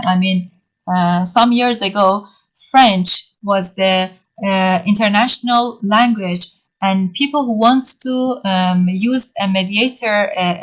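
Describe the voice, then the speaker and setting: A woman whose first language is Persian, giving an online lecture in English, halting and filling pauses often as she explains step by step. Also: medium pitch, fairly bright tone, rough recording. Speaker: university lecturer.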